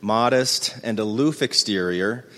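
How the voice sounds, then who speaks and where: A man's voice: lowish voice, measured pace, a bit bright, in a large hall. A man giving a casual conference talk, rambling with hesitant fillers and restarts in a self-deprecating way. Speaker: conference presenter. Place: conference room.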